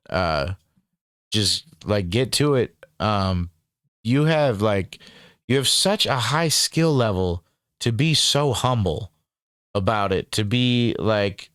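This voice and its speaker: A man's voice: on the low side, fairly bright tone, clear, resonant tone, dry recording, studio quality. A man hosting a casual interview, rambling and swearing freely, with lots of fillers. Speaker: interviewer and channel host.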